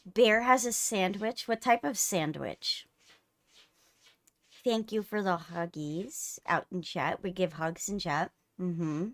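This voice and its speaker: A woman's voice: lowish voice, slow, fairly bright tone, no room sound. A woman hosting a livestream in casual chat, teasing her co-host playfully, close on the mic.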